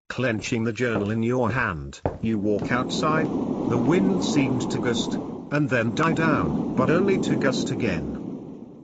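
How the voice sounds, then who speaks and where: A man's voice: mid-range voice, background noise. A man reading a scripted story for children as its narrator.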